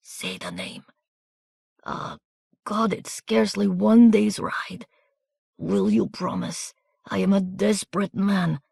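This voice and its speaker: A reader voicing a wounded, desperate young soldier who speaks in a weak, breathy murmur with a soft foreign accent. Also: female voice, mid-range voice, big pitch swings, takes it slowly, pauses often, no echo, studio quality, pitched above the performer's own voice, full character voice.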